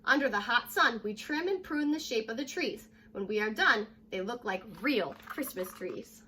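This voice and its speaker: Young woman, American accent, high bright voice, children's read-aloud, teacherly delivery. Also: moderate pace, slight background noise. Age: young adult. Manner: animated, addresses young listeners with questions. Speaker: teacher reading a picture book aloud. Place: living room at home, indoors.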